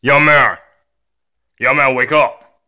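A man's voice: medium pitch, fairly even intonation, slow, sharp tone, voice pushed down. A man playing a stern, weary father who scolds and admonishes his young son in a homemade story. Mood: calm, firm.

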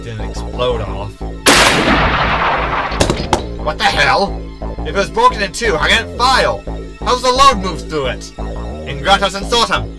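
Man acting a high-pitched, haughty homicidal hologram. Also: big pitch swings, a little slow, pauses often, exaggerated voice. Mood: baffled. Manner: theatrical, menacing, breaking into Latin. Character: homicidal haughty male hologram, insulting, spouting Latin. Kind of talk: science fiction comedy audio drama. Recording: loud music under voice.

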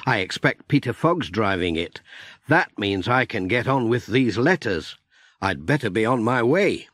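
A man voicing a kindly, gentle village postman in a children's audiobook, tender and exclaiming. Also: fairly low pitch, big pitch swings, unhurried, no echo, clean studio sound, exaggerated voice. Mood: friendly, wondering.

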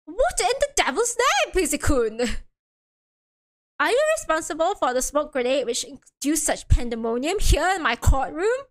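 Girl doing pompous old judge from a game, blustery and bouncy, high pitched. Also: sing-song, normal speed. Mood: indignant. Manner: blustering, indignant. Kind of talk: reading game dialogue aloud on stream.

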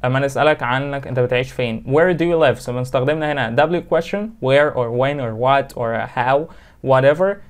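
Fast, clear-voiced Egyptian English teacher. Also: male voice, medium pitch. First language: Arabic. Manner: explains and repeats example sentences slowly, fast asides. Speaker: English instructor. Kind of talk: online grammar lesson, mixing Arabic and English. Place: small room indoors.

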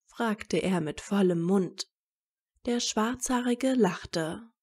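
A woman's voice: medium pitch, slow, darkish tone, slightly rough. A woman narrating a scripted story.

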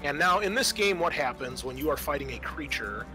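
A man's voice: fairly high pitch, expressive, fairly quick, music in the background, rough recording. A man acting as game master, explaining and narrating a horror roleplaying game in a casual, sweary way.

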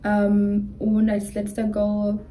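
Young female co-host, flat mellow delivery, casual rambling with English loanwords. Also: mid-range voice, slow, slight background noise. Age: young adult. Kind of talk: casual chat. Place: dim bedroom, indoors.

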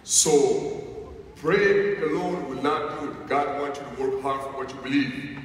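A man in his thirties with a foreign accent and a deep voice, giving a slow, emphatic inspirational speech on stage in a large arena. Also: fairly even intonation, slight background noise. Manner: slow, emphatic, preacher-like delivery. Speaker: motivational speaker. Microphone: headset or stage mic heard over PA from the crowd. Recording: echoey arena PA recorded from far seats.

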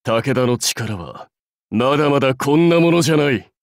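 An actor playing a proud, fierce warlord general in a mobile game, terse, rough and commanding. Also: male voice, medium pitch, animated, moderate pace, no room sound, studio quality, close to an everyday voice. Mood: stern, confident. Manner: terse, rough, declamatory delivery. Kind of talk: anime-style mobile game battle and dialogue voice lines.

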